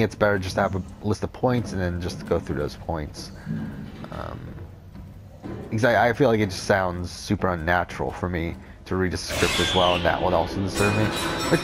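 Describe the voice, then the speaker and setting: A young man with a cold, rambling through casual gameplay commentary, talking off the top of his head with a deep, unclear voice and frequent swearing. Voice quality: deep, not clear. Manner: rambling, unscripted, says whatever comes to mind, swears often. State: has a cold. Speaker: Let's Play commentator.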